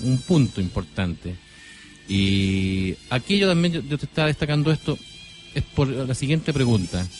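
A man from Chile with a Chilean accent, hosting a conversational radio interview. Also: on the low side. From San Antonio, Chile. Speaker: radio host interviewing a guest. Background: background music.

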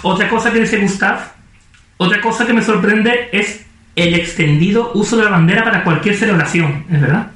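A man's voice: sits high, moderate pace, fairly bright tone. Laughing man in a very echoey room. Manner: jokey, laughs often. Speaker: podcast co-host. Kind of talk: casual chat reading listener messages.

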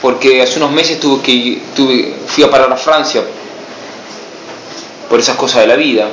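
A priest from Buenos Aires with an Argentine accent speaks informally to a small gathering. He poses pointed questions and answers them himself with a flat no. His voice sits at an average pitch, and the recording is muffled and lo-fi, with a roomy echo. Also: normal speed, a little hiss or hum. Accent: Argentine Spanish, Buenos Aires. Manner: emphatic rhetorical questions answered with a firm no. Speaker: missionary priest. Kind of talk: informal talk to a small group.

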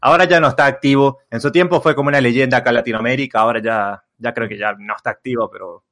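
A young man with an Argentine Spanish accent, chatting casually as an interview guest. He rambles, stacks fillers and restarts his sentences often, at an ordinary mid pitch for a man. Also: steady tone, fast, a bit bright. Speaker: content creator guest.

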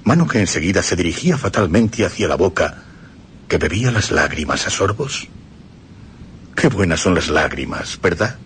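A man's voice: low-pitched, very animated, moderate pace, a little hiss or hum. A man with a Spain Spanish accent reading literary passages aloud on radio in an intense, theatrical, insinuating way. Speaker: reader of quoted texts. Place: radio studio.

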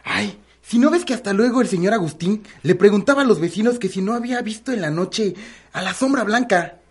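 A woman's voice: mid-range voice, lively intonation, fairly quick, slightly rough. A woman with a Mexican accent playing a superstitious, gossipy household servant in a melodramatic radio soap opera. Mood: confiding.

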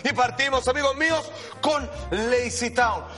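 A man with a Chilean accent presenting and commenting excitedly as a TV show host, emphatic, dramatic and repetitive. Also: extremely high pitch, unhurried. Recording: loud music bed under voice.

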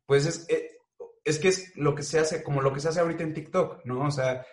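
A man's voice: mid-range voice, roomy sound. A young man with a Mexican accent, a joking, chatty guest in a casual interview, talking about his animation and voice work. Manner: playful, joking, chatty with frequent fillers.

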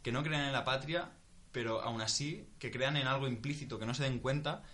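A man's voice: medium pitch, a little fast, fairly bright tone. A young man from Spain in a casual group discussion, thinking aloud with many restarts. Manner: thinking aloud, restarts, swears when stuck.

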